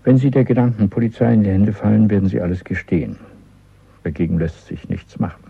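Gravelly, deep-voiced stern conspirator. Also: male voice, no room sound. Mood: grave, instructing. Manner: measured, formal, commanding interrogator. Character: stern, controlled male conspirator and party official. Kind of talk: German radio play acting. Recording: muffled, narrow-band dry radio recording.

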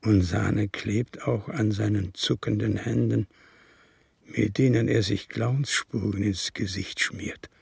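Gravelly, husky old comrade confessing. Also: male voice, fairly low pitch, fairly even intonation, dry recording, full character voice. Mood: grim, haunted. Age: older. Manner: slow, deliberate, formal. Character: embittered old communist comrade confessing, resolute and unrepentant. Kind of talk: radio drama monologue and dialogue.

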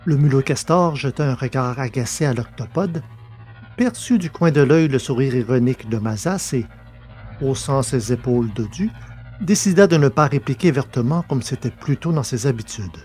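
A man reading scripted science fiction narration in a measured, literary way. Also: medium pitch, expressive, darkish tone. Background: music.

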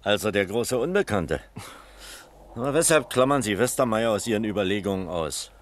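An actor playing an authoritative police captain in a radio crime drama, asking pointed, official questions. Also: male voice, fairly low pitch, lively intonation, moderate pace, long reverb tail, a little hiss or hum, lightly acted. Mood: puzzled.

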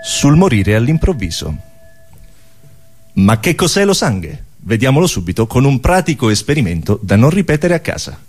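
A man performing scripted absurdist theatre with a mock-solemn, deliberate, declamatory delivery. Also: mid-range voice, big pitch swings, darkish tone, slightly rough, soft background music. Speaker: stage performer.

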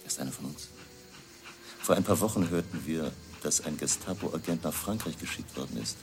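An actor playing a weary exiled newspaper editor, recollecting gravely and confidingly in a radio drama monologue. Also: male voice, a bass voice, fairly quick, over music. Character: weary exiled newspaper editor turned underground communist courier.

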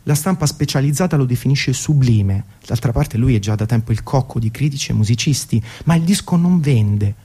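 Mellow-voiced man narrating on radio. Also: mid-range voice, animated, a little fast, few pauses, slightly rough. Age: 30s. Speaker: radio presenter. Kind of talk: scripted narration of a music documentary monologue. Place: radio broadcast.

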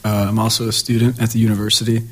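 A young man, a musician guest on a radio show in a studio, talking casually and haltingly with repeated words. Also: fairly low pitch, completely flat delivery.

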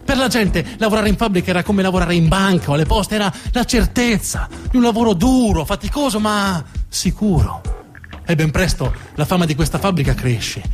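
A man performing a narrative theatre monologue, emphatic and theatrical, repeating words for effect. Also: high voice. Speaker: storytelling stage actor.

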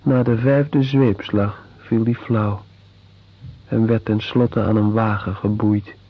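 Radio play narrator: a grave, deliberate chronicler, unhurried, on a lo-fi recording with music underneath. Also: male voice, fairly low pitch, steady tone. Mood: sober, grim. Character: sober chronicler recounting prison colony horrors. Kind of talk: scripted narration in radio drama.

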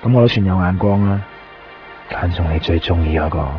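An actor playing a cool, curious shop customer in a radio drama, terse and deadpan, with a Hong Kong Cantonese accent. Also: male voice, low-pitched, brisk, close to an everyday voice. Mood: reserved. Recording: muffled 1990s radio, music behind.

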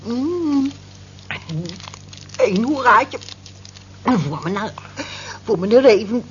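A woman's voice: on the high side, lively intonation, drawn-out words. An actress playing a nosy, teasing married cleaning woman on the night shift in a Dutch radio drama. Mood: giddy. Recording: dull lo-fi old radio recording, faint noise.

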